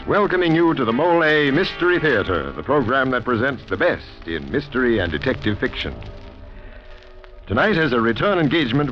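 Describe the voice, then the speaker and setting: A man hosting a 1940s radio mystery program with formal, theatrical scripted introductions. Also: medium pitch. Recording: dull old 1940s radio recording.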